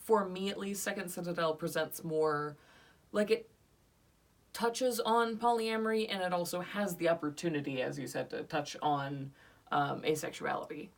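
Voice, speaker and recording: A woman's voice: fairly low pitch, steady tone, normal speed, distant mic. Young woman talking from a little off-mic. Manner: casual, hesitant, searches for words. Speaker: co-reviewer. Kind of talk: casual review chat.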